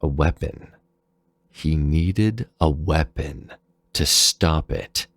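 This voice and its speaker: Man, extremely low voice, slow pace, measured story narration, deliberate phrasing. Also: no room sound. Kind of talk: dramatic scripted fan fiction narration.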